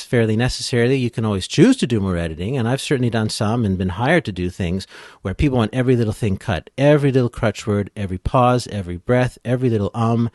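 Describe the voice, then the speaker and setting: A man giving casual, informal expert explanations as a podcast guest, thinking out loud as he goes. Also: mid-range voice, no echo, clean studio sound. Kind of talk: casual explanatory interview talk.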